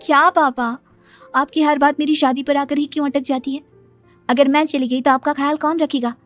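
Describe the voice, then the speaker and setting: Woman voicing a high-pitched, earnest young daughter. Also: fairly even intonation, normal speed, soft background music, natural voice. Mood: protesting, caring. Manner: earnest, pleading. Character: devoted, tearful young daughter and new bride. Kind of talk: dramatized cartoon moral story.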